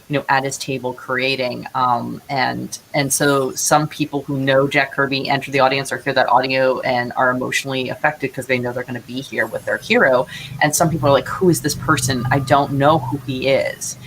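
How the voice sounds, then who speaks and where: Female interview guest, playwright. Low register, effusive and halting delivery, some noise behind her. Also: fairly bright tone, rough recording. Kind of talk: animated interview conversation.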